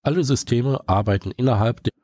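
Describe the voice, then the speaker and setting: A man playing a calm, dutiful ship's computer in a science fiction audio drama, speaking in formal, measured announcements. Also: medium pitch, no echo, studio quality, natural voice.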